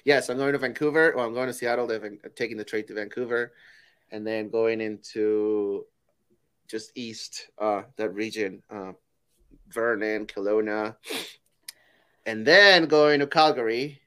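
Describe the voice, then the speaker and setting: A man's voice: on the low side, fairly even intonation, unhurried, pushed and bright, clean tone. A man from Peru co-hosting a podcast, chatting casually and joking, with some swearing. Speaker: podcast co-host. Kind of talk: casual chat.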